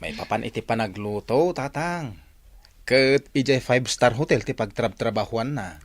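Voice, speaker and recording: A man's voice: mid-range voice, expressive, normal speed, close to an everyday voice. Guy acting happy young married son, ilocano radio drama, eager and really up and down. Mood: proud, amused. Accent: Northern Philippine Ilocano.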